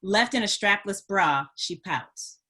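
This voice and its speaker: A woman narrator reads out scene headings and action from a screenplay. Her voice is of medium pitch, in the scripted style of a table read. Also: lively intonation, takes it slowly, pushed and bright. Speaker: narrator reading stage directions.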